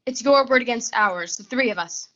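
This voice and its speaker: A woman's voice: fairly high pitch, normal speed, fairly bright tone, lo-fi sound, close to an everyday voice. A young woman acting a brazen, blunt, scheming woman in a 1940s-style radio suspense play. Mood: defiant. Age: young adult. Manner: blunt, clipped. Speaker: high school theatre student actor.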